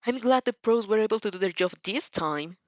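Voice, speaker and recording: A woman's voice: mid-range voice, lively intonation, brisk, no room sound, lo-fi sound, lightly acted. A woman voicing a passionate, earnest teenage hero student, a boy who speaks in emphatic exclamations in an amateur audio drama.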